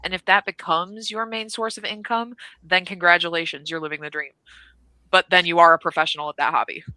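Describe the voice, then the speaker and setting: A woman's voice: on the low side, steady tone, fairly quick, bright, forceful tone, dry recording, poor audio. A woman guest in a casual discussion, giving opinions in an emphatic, decisive way. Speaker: interview guest.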